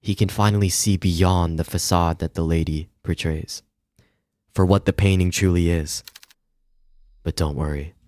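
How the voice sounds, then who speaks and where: A male game streamer with a very low voice on a dry, close microphone. He reads story narration aloud and drops in informal comments. He stumbles on long words and swears casually. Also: fairly even intonation, moderate pace, a little mellow, no room sound. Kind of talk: reading visual novel narration aloud with casual asides.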